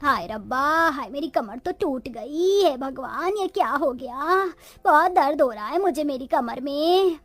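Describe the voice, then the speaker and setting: Poor village housewife for a cartoon moral tale, played by a woman: high voice, dramatic, complaining, close dry recording. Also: expressive, measured pace, a bit bright, dry recording. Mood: pained. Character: village women of a poor family, resourceful daughters-in-law and their mother-in-law. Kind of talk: Hindi cartoon moral-story dialogue.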